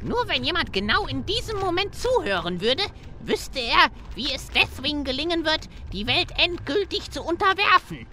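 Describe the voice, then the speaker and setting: A man's voice: very high voice, very animated, normal speed, hardly any pauses, pushed and bright, slight background noise, full character voice. A man playing a scheming, gloating gnome villain in a comedy fantasy audio play, with theatrical delivery. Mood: conspiratorial.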